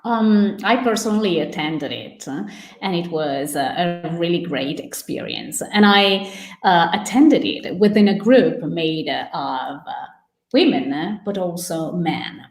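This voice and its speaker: A woman moderating a panel, asking questions hesitantly with many filler pauses. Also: fairly low pitch, animated, reverberant.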